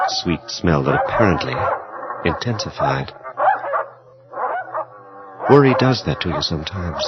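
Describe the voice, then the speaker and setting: A man playing an anxious scientist doctor in a radio drama, deliberate and urgent in narration and dialogue. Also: deep voice, natural voice. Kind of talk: radio drama acting with first-person narration. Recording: dull, noisy lo-fi audio drama recording.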